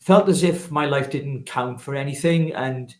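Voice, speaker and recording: Man, 50s, Welsh accent, earnest storyteller, restarts a lot, roomy echo, mid pitch. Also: unhurried, darkish tone. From Wales. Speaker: guest. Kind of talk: casual interview talk.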